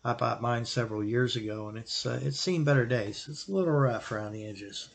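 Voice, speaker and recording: A man hosting a hobby video, explaining gear in a casual, plain-spoken, unscripted way with halting pauses. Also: mid-range voice, vocal fry. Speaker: radio hobbyist host answering viewer question. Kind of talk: casual explanatory product talk.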